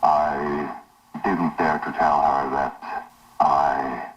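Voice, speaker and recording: An extremely low-voiced coroner, played by a man, dictates case logs for an analog horror drama. He is formal, weary and halting, heard from a distance on a dull, hissy recording. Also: little pitch movement, full character voice. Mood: uneasy, subdued. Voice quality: very deep voice. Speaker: medical examiner. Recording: muffled noisy old tape, distant mic.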